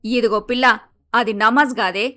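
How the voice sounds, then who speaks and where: A woman's voice: a little high, fairly even intonation, moderate pace, bright, forceful tone. A woman playing a bossy, grumpy middle-aged mother-in-law with a Telangana Telugu accent, blunt and scolding, in a story cartoon. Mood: exasperated, scolding.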